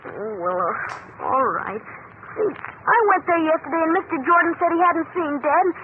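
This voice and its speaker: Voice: very high voice, sing-song, moderate pace. An actor playing a scrappy, slangy young boy, loyal and defensive, in 1940s radio drama style. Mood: hesitant, worried. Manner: slangy, exclaiming, boyish. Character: scrappy street-kid boy, defensive and loyal to his dad. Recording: tinny, hissy old-time radio broadcast.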